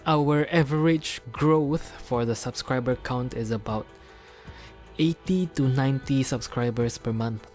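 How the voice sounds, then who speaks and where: A man's voice: mid-range voice, a little slow, darkish tone. A man with a mixed Indonesian-Singaporean accent and a mid-range voice, co-hosting a casual podcast. He rambles loosely and jokes around, with plenty of filler words and faint music underneath. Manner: joking, loose, filler-heavy. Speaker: podcast co-host. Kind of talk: unscripted casual chat.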